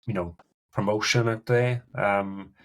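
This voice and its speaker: A man's voice: fairly low pitch, steady tone, a little slow, fairly bright tone. A middle-aged man with a Welsh accent, a podcast guest telling his story in loose, chatty talk full of "you know"s. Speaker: guest endurance athlete. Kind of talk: casual podcast chat.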